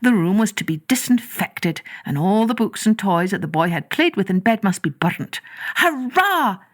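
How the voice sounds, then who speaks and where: A woman narrating a children's story in a measured, expressive way, drawing out some words. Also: medium pitch. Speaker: storyteller, podcast host.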